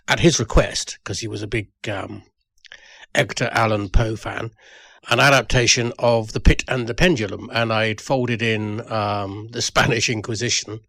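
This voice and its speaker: A man's voice: medium pitch, a bit bright, no echo. A man reminiscing in a spoken tribute, hesitant and thoughtful, with a wry touch. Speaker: writer and producer, guest.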